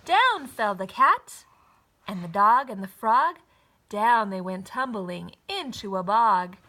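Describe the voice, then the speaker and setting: Animated, slow-paced woman reading a story. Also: medium pitch. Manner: animated, deliberate, rhythmic rhyming delivery. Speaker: storybook reader. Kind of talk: expressive children's story narration.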